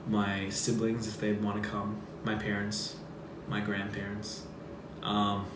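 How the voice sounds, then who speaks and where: A man's voice: on the low side, completely flat delivery, slow pace, noisy background. A young man from Sri Lanka giving casual, simple interview answers. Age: young adult. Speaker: interviewee, student. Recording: echoey room, guitar music over it.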